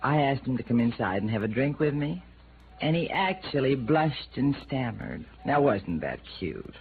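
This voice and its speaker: On a tinny vintage radio recording, a flirtatious noir widow. Her pitch swoops up and down as she teases and needles, languid, brazen and a little tipsy. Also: lively intonation, moderate pace, a little hiss or hum. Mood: flirty, brazen. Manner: languid, teasing, provocative. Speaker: supporting actress in a radio drama. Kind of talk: 1950s radio noir acting.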